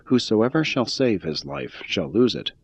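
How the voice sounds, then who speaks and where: A man reading a religious text aloud in a measured, even-paced scripted style. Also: on the low side, breathy edge. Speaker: reader.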